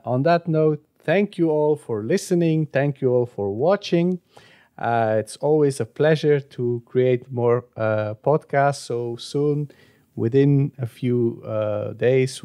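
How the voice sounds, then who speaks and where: Male podcast host, mellow, halting casual delivery. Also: mid-range voice. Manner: hesitant, many filler sounds. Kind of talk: casual outro with channel plugs.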